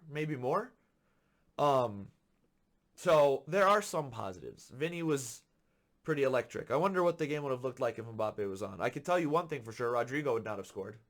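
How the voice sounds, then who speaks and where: A man hosting a football podcast, ranting with frustration and repeating his points for emphasis, a little hoarse from being sick. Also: mid-range voice. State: slightly hoarse from illness. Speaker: podcast host. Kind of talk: solo football commentary rant.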